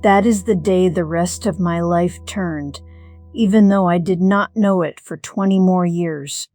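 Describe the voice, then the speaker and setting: A woman playing a middle-aged retired firefighter telling her own story in an audio drama. Her voice sits fairly low and she goes very slowly, measured and reflective with a wry edge. Soft music runs underneath. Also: close to an everyday voice. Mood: confiding, grave. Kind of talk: first-person scripted story narration.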